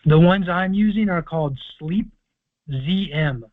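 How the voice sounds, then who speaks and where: A man's voice: on the high side, a little slow. Man explaining over a tinny phone line. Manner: confident, explaining at length with fillers. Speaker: host of a call-in conference line. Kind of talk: casual call-in advice talk. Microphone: phone or conference call.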